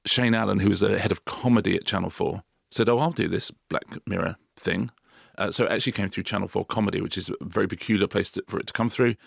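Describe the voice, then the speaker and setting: Man, very low gravelly voice, rapid talk, dry close studio sound, casual podcast chat. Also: no room sound. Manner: fast, storytelling, frequent restarts. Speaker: podcast co-host.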